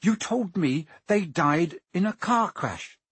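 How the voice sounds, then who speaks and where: A man's voice: sits high, animated, slow pace, fairly bright tone, husky, no echo, pitched above the performer's own voice, heavily acted. A man voicing an earnest, bewildered young boy in an audiobook, asking short, urgent questions.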